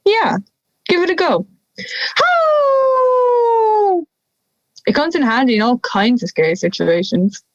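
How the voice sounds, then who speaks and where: A woman's voice: extremely high pitch, very animated, takes it slowly, pauses often, dry recording, muffled recording, full character voice. A young woman playing a friendly male wolf with a dry, sardonic manner in an audio drama. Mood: encouraging. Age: young adult. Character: friendly male big bad wolf, wry and gentle.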